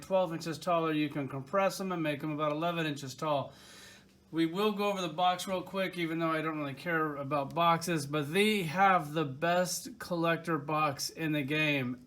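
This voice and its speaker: A man's voice: a little high, a bit bright. A man giving a casual, opinionated product review in an informal, rambling way. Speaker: toy reviewer.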